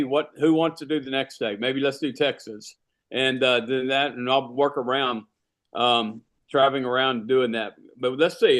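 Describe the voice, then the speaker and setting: Male insurance boss on a team call, mid-range voice, rambling and casual. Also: mid-range voice. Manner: loose and rambling, many fillers and restarts. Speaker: insurance agency leader running a team training call. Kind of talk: casual team meeting talk.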